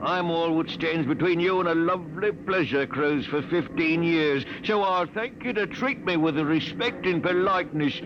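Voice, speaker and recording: A man's voice: on the high side, moderate pace, few pauses, close to an everyday voice. An actor playing a sly, two-faced crooked spaceship crewman with folksy mock politeness in a 1950s radio drama. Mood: mock offended. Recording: noisy, muffled, reverberant old radio recording.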